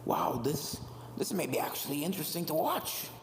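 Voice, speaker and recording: A performer voicing menacing, mocking talking ghost portraits of ponies in a haunted manor, slow and taunting. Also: mid-range voice, sing-song, slight background noise. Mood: mocking interest. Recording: echoey voice with music behind.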